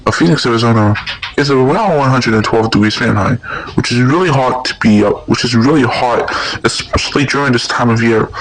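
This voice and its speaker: A man's voice: medium pitch, vocal fry. A man delivering an amateur news bulletin in an informal, repetitive way. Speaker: news presenter in an amateur emergency scenario. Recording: noisy home recording with clicks.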